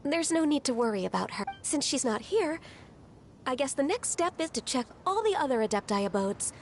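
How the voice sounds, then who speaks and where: A woman playing a gentle, reserved young woman in a fantasy video game, speaking politely and in a measured way. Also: high voice, sing-song, faint music behind. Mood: thoughtful. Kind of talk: video game character acting.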